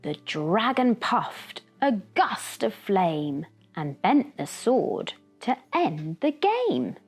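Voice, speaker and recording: A woman's voice: medium pitch, big pitch swings, drawn-out words, slightly rough. A woman reading a rhyming children's story dramatically, with asides to young listeners. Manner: dramatic, with gasps and whispered asides. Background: background music.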